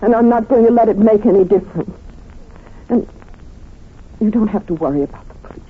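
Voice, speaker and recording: An actress playing a devoted, possessive wife in an old radio mystery, speaking with quiet, insistent intensity. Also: female voice, medium pitch, a little fast, slightly rough, close to an everyday voice. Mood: pleading, knowing. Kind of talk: 1940s radio drama acting. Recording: muffled, noisy old radio recording.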